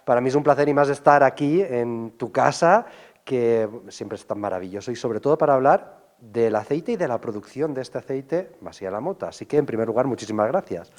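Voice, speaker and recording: A man's voice: medium pitch, expressive, brisk, a little mellow. Effusive male TV interviewer, conversational.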